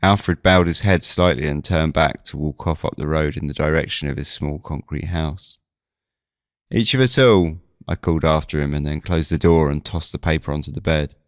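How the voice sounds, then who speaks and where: A man reading scripted first-person audio drama narration in a measured storytelling manner. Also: very deep voice, clear, resonant tone, no room sound, studio quality. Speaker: narrator and lead of a fiction podcast.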